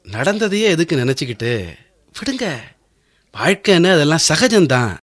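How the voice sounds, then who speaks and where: An actor playing a stingy, falsely friendly brother-in-law, glib and hesitant, in a Tamil radio drama. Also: male voice, a little high, big pitch swings, moderate pace. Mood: breezy.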